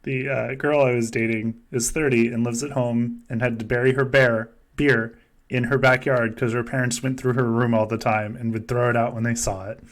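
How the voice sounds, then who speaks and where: A man's voice: medium pitch, little pitch movement, moderate pace. A man hosting a game stream in casual, joking chat, with wry, profane, ranting asides.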